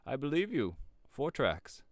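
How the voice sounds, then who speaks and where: A man voicing an old rustic shepherd in a storybook, speaking in country dialect with slow, emphatic, grave warnings. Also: medium pitch, sing-song, no echo, voice pushed up. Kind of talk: storybook character voice.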